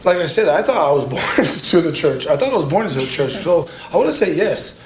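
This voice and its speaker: A man's voice: on the high side, big pitch swings, a little fast. A man being interviewed on a podcast, talking sincerely with many fillers and easy laughs. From United States. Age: adult. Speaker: podcast guest. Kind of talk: casual interview chat. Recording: roomy with faint background noise.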